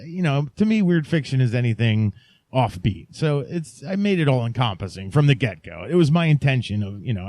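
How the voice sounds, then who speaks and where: A man's voice: mid-range voice, dark tone, no room sound. A man talks as a podcast host in a loose, rambling way. His delivery is informal and keen, wandering through asides. The sound is dry, with no room on it. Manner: informal, digressive, enthusiastic about indie writers. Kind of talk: casual rambling solo podcast talk.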